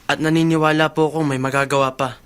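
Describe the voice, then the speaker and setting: Man acting polite, earnest young fellow, highish voice. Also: little pitch movement, fast, a bit bright, smooth, close to an everyday voice. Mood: grateful, hopeful. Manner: respectful, sincere. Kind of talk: radio drama acting.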